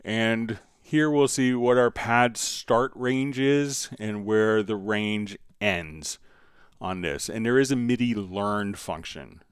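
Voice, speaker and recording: A man hosting a live stream, casually explaining software step by step while he demonstrates it. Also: lowish voice, takes it slowly, clear, resonant tone, dry recording, clean studio sound.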